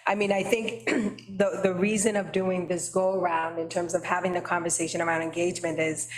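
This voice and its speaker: A woman's voice: fairly low pitch, little pitch movement, moderate pace, reverberant, slight background noise, low-quality recording. A woman, a library trustee whose first language is Haitian Creole, speaking at a committee meeting around a table and thinking aloud, with many tag questions. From United States.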